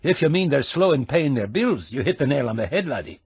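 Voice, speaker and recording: A man's voice: medium pitch, big pitch swings, fairly quick, darkish tone, husky, no room sound, voice pushed up, full character voice. A man voicing a chatty, gossipy male shopkeeper with a put-on Scottish brogue. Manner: teasing, gossipy, rolling brogue.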